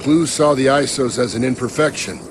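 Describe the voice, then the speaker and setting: A man playing a weary, regretful middle-aged creator of a digital world in a game cutscene, speaking gravely and haltingly. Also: medium pitch, background noise, lightly acted. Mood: bitter regret. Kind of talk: dramatic game cutscene acting.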